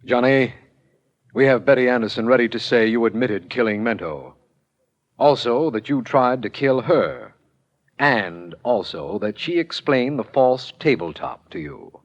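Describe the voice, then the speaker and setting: A lively, swinging male voice from an actor plays a blunt official in a 1940s radio crime play. He is brisk, firm and wry, heard on a muffled old recording. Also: medium pitch, natural voice. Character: brisk, no-nonsense male official, the detective's ally. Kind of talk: 1940s radio drama acting. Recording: dull vintage radio recording.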